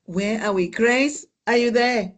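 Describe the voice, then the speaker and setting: An unhurried course facilitator leads an online class and gives encouraging feedback. The speech moves slowly and deliberately, at a middle pitch, through a video-call microphone. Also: fairly bright tone.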